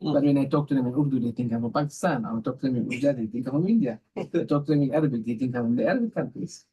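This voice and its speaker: A man's voice: mid-range voice, very fast, dark tone, slightly rough, rough recording. A man with a South African accent sharing religious reflections conversationally as a scholar, repeating phrases for emphasis. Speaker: Islamic scholar, podcast guest.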